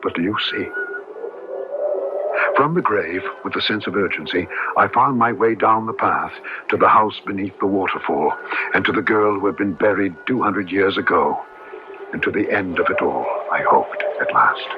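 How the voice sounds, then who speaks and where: An actor playing a brooding, haunted gentleman in an old radio drama, speaking in a grave, measured, literary way. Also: sits low, noisy background, close to an everyday voice. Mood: brooding narration. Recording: dull lo-fi radio recording with music.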